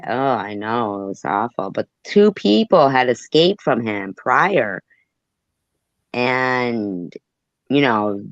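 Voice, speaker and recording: A man's voice: mid-range voice, fairly bright tone, no echo, dull audio. A man hosting a casual chat who rambles, trails off and mutters asides, then reads news reports aloud. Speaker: host. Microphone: phone.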